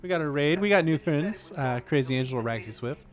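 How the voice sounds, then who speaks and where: A man's voice: fairly high pitch, expressive, fairly quick, soft background music. A middle-aged man chatting casually as he livestreams a game.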